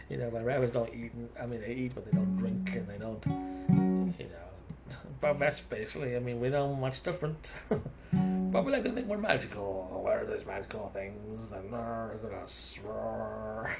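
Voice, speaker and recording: A man's voice: fairly low pitch, normal speed, creaky, highs cut off, over music. A man with a South African accent rambling through a casual monologue, chuckling between his thoughts.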